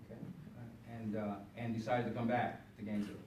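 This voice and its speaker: A man's voice: fairly low pitch, little pitch movement, quick pace. Older man moderating in an echoey room. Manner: hesitant, filler-heavy, with restarts. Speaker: moderator, university teacher. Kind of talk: panel moderation. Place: panel room with audience. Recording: echoey room, far from mic, noisy.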